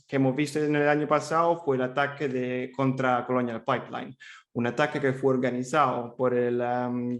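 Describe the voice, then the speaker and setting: Italian man presenting in Spanish. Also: medium pitch. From Italy, Rome. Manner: friendly and chatty, with many fillers and repeated restarts. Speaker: cyber threat intelligence analyst presenting at a summit. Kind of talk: conference presentation.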